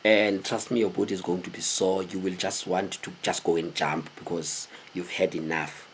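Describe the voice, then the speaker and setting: A South African man in his thirties tells a story casually to camera, animated and digressive, repeating words for emphasis in a husky voice. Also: low-pitched, moderate pace, a little hiss or hum. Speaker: vlogger telling stories. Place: small indoor room with a cloth backdrop.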